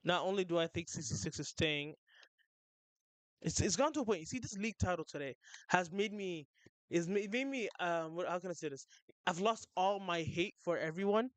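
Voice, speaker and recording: Excitable young man, clear dry voice. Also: high-pitched, moderate pace, no room sound. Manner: excitable, rambling, trips over words. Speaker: streamer. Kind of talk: casual livestream chat.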